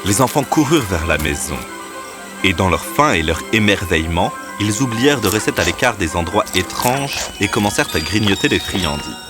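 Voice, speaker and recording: A man with a deep voice narrates a scripted children's fairy tale. Background music plays clearly beneath his storytelling. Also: lively intonation, fairly quick.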